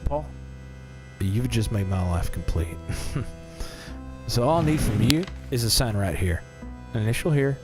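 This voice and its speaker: A man's voice: mid-range voice, lively intonation, measured pace, long pauses, close to an everyday voice. An actor playing a folksy, genial carnival owner in an audio drama, talking in a salesman's patter. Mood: pleased, generous. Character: folksy, smooth-talking carnival owner, a much-married man. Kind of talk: scripted audio drama acting. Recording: music behind the voice.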